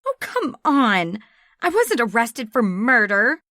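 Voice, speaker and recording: Female narrator as reckless woman, audiobook character, flippant, indignant, emphatic, raised pitch, dry close sound. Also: big pitch swings, slow, sharp tone, no room sound, full character voice. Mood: defensive, flippant. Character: brash, indignant gun-waving woman, a habitual liar.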